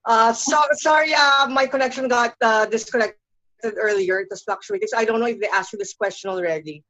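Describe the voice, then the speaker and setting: A woman's voice: on the high side, a little fast, pushed and bright. A woman journalist from the Philippines asking questions in a friendly, hesitant way, slipping in Tagalog words, over a video call. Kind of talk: press conference questions. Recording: dull lo-fi video call audio.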